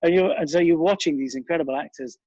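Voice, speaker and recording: A man's voice: a little high, quick pace, warm tone, no echo, dull audio. A middle-aged man, reflecting casually in an interview in a rambling, thoughtful, self-deprecating way. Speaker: interview guest, writer, director and actor.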